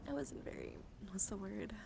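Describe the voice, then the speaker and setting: A woman streamer speaking softly and playfully in an ASMR style, close to the microphone, her breathy voice often dropping into a whisper. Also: medium pitch, moderate pace. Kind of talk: soft-spoken ASMR card showcase. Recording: clear background noise.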